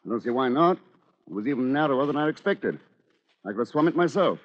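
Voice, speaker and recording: An actor playing a cold, conniving attorney with a formal, pompous, calculating manner in an old-time radio drama. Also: male voice, medium pitch, animated, quick pace, lightly acted. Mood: guarded. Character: cold, conniving male attorney, cleverly manipulative. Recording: muffled old radio recording, music behind.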